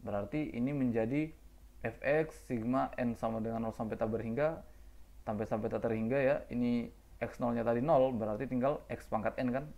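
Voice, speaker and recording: A man's voice: medium pitch, steady tone, moderate pace, creaky, warm tone, dull audio. A man teaching a math lecture, walking through formulas step by step, patiently checking in with his listeners and correcting himself as he writes. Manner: patient, repeats "ya" often, self-corrects. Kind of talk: lecture explaining formulas while writing.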